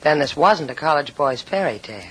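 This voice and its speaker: A woman's voice: sits low, animated, brisk, rough recording. An actress playing a cool, wisecracking young woman shipmate, dry and teasing, in old-time radio drama style. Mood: cool, direct.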